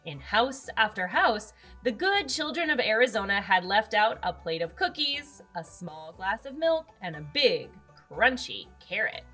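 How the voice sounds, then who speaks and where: A woman reading a children's storybook aloud as narrator, expressive and with dramatic emphasis. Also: mid-range voice, a little slow, hardly any pauses. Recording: music under the voice.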